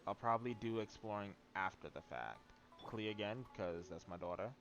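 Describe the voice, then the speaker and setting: A man's voice: on the low side, normal speed, lo-fi sound. A man doing casual, rambling and playful live-stream commentary while he plays a video game. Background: faint game music.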